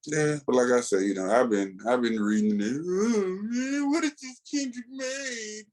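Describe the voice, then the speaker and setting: A man's voice: on the high side, normal speed, a little mellow. A man co-hosting a podcast in casual chat, with a laid-back, slangy, colloquial way of talking. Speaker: podcast co-host.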